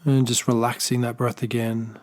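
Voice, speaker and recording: Soft-spoken man guiding a meditation. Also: medium pitch, little pitch movement. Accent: Australian. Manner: slow, soft-spoken, gentle guidance with pauses; unscripted, with small restarts. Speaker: meditation teacher. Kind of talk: guided body scan meditation.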